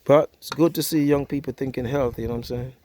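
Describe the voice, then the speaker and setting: A man's voice: medium pitch, steady tone, fast, darkish tone, slight background noise. Easygoing Jamaican man vlogging outdoors. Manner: easygoing, chatty, peppered with "yeah, man". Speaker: cooking vlog host. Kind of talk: casual vlog chat. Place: outdoors at a country wood-fire oven.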